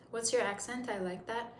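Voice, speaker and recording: A 30-year-old woman from Turkey, a native Turkish speaker, hosts a casual livestream in English, rambling chattily as she answers viewers. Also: fairly high pitch. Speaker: livestream host. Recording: echoey room with faint noise.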